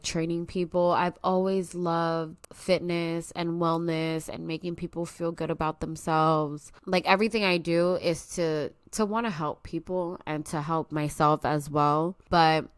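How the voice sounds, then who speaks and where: Earnest young woman, stuffy, lower voice. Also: clear, resonant tone, dry recording, clean studio sound. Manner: earnest, confiding, speaks straight to listeners. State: stuffy from allergies. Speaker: podcast host. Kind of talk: personal solo podcast talk. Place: at home.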